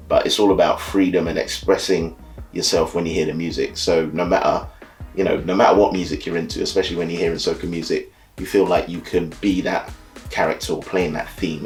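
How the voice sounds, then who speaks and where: A man born and raised in the UK who leads a carnival band, explaining carnival in a relaxed, easygoing way, often saying "you know" and "so yeah". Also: deep voice. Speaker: carnival band leader and soca DJ. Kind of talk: casual explainer talk to camera.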